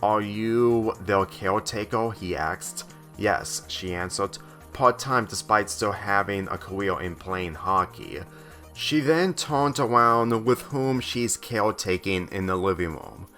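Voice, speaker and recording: Male narrator for fan fiction, lowish voice, very unhurried delivery, music underneath. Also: animated, pushed and bright. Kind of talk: scripted story narration, reading dialogue aloud.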